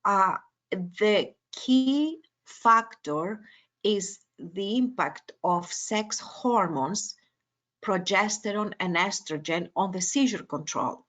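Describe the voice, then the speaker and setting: A woman neurologist from Greece delivers a webinar lecture, her English carrying a Greek accent. She speaks slowly, with steady mid-range pitch, and fills her pauses with frequent uh and um. The sound is that of a video call. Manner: hesitant, many uh and um fillers.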